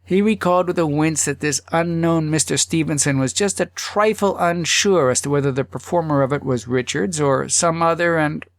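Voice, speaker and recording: A man's voice: a little high, normal speed, few pauses, dry recording, clean studio sound. A man reading a humorous story aloud as an audiobook narrator, theatrical in the way he dramatizes it. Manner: theatrical, dramatizing thoughts and dialogue.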